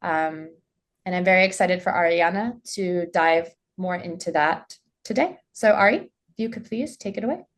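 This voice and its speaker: A woman's voice: fairly low pitch, expressive, normal speed. Friendly woman opening a webinar. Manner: friendly, casual, with frequent "um"s. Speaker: webinar host and head of product. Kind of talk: business webinar introduction.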